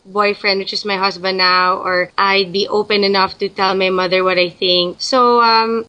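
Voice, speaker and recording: A woman's voice: mid-range voice, fairly even intonation, normal speed, pushed and bright, clean tone, muffled recording. A young woman from the Philippines, a guest in an interview, talking casually and thinking aloud with frequent restarts.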